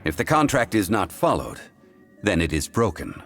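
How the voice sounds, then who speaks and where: An actor playing a calm, ancient man bound by contracts, speaking in a measured, formal, grave manner. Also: sits low, faint music behind. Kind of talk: game voice acting.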